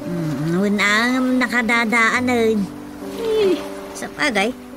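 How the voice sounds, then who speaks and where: An actress playing a practical middle-aged wife in a radio drama, speaking with an Ilocano accent in a matter-of-fact, chatty way. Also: female voice, fairly high pitch, lively intonation, takes it slowly. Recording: music bed under the dialogue. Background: music.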